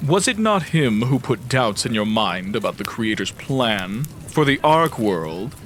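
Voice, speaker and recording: A man plays a wise immortal brother in a fantasy radio drama, with a mid-pitched voice. He is grave, measured and reproving, recorded with faint noise behind him. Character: solemn, wise male celestial elder brother, calm and reproving. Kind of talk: fantasy audio drama acting.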